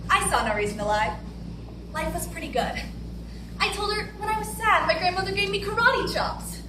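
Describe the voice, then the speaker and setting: A woman's voice: extremely high pitch, expressive, normal speed. A young woman performing a recited dramatic monologue on stage in a large hall. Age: teenager or young adult. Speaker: readers theater performer. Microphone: far camera, no visible mic. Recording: roomy, noisy, distant camera recording.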